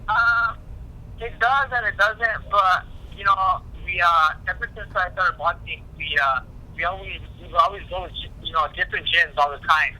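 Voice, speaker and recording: A man speaking as a boxer in a casual phone interview, halting and repeating himself, with frequent "you know". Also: high voice, steady tone, bright, forceful tone. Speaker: professional boxer being interviewed. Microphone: telephone call. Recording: tinny phone line.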